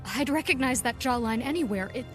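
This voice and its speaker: Actress as a bold, high-voiced young vigilante woman. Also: lively intonation, fairly quick, natural voice. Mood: admiring. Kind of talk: pulp audio drama acting. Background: music.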